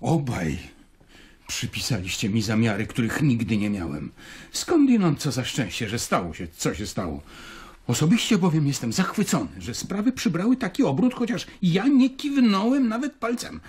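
An actor playing a troubled, devout Judean councillor in an audio drama, with a husky, breathy voice and solemn, emphatic delivery. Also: male voice, a little high, very animated, moderate pace, a little mellow. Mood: calm, knowing. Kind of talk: literary audio drama acting.

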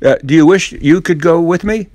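A man voicing an eager, boastful boy in a storybook reading, speaking out in a loud, sing-song manner. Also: on the high side, moderate pace, no room sound, pitched above the performer's own voice, close to an everyday voice. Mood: teasing.

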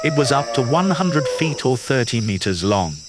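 Lively man reading a kids' book aloud. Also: medium pitch, moderate pace, background noise. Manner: clear, patient, teacherly, praises listener. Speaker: children's book reader. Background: background music.

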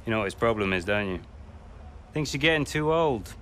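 A man's voice: medium pitch, expressive, fairly quick, fairly bright tone, slight background noise, close to an everyday voice. A man playing a cynical, needling racing insider in a video game, talking in clipped taunts. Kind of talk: video game cutscene acting.

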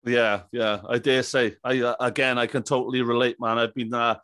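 A man's voice: mid-range voice, flat delivery, normal speed, fairly bright tone, no echo. Jovial man hosting, very dry sound. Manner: chummy, jovial. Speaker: podcast host. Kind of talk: casual interview chat. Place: small indoor room. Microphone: close boom-arm microphone with headphones.

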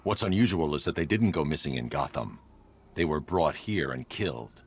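An actor playing a grim, controlled vigilante detective in a video game, speaking in a clipped, deliberate, deadpan clinical manner. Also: male voice, very deep voice, breathy edge, full character voice. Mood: grim, analytical. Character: grim adult male masked vigilante detective, controlled and analytical.

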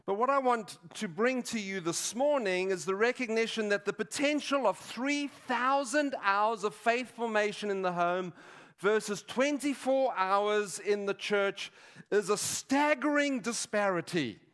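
A man in his sixties preaching a sermon in a deliberate, emphatic way, pausing between short phrases. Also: sits high, fairly bright tone. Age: 60s. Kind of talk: sermon with statistics and teaching. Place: large dim auditorium stage at a lectern.

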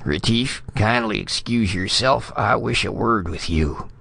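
A man's voice: medium pitch, lively intonation, normal speed, creaky, pushed and bright, voice pushed up, heavily acted. A man voicing a pompous, choleric senior ambassador in a storybook reading, blustering and sputtering in formal phrases. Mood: indignant.

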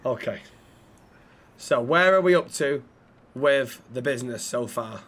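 A man's voice: mid-range voice, animated, unhurried, fairly bright tone. A man streaming improvised role-play in an online game, talking bluntly with plenty of swearing and exasperation.

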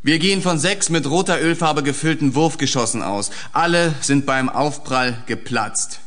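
An actor playing a businesslike police official in a German radio drama, speaking in a measured, formal, evasive manner. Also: male voice, fairly high pitch, a bit bright, natural voice. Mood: guarded, firm. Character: guarded, businesslike male police spokesman and senior official.

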